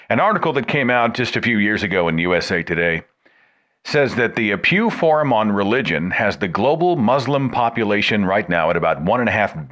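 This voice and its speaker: Man, podcast host, lively swinging delivery, lowish voice, studio mic. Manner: expressive, emphatic delivery with wide swings. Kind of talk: scripted radio talk and interview.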